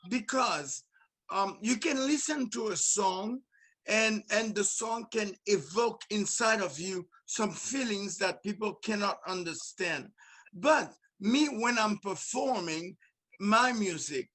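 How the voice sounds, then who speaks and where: A man's voice: sits high, lively intonation, slow pace, pushed and bright. A man with a Haitian accent, a musician guest talking earnestly in casual conversation and often restarting and repeating words.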